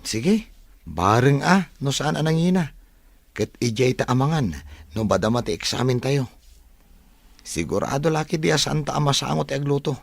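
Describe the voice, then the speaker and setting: A young male student in a radio drama, acted by a man, has a creaky, gravelly voice. He is thrifty and good-humored, with some grumbling. The recording is dry. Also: mid-range voice, animated, moderate pace, long pauses, no echo. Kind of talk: Ilocano radio drama acting.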